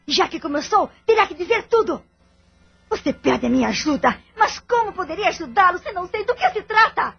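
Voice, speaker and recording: Radio drama role for an actress: betrayed Brazilian wife, high-pitched, formal, anxious and relentless in her questioning; muffled audio. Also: sing-song, a little fast, gravelly, heavily acted. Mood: insistent, accusing. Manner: insistent, interrogating, formal diction. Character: betrayed, determined wife and mother, anxious and demanding. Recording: dull lo-fi audio with music.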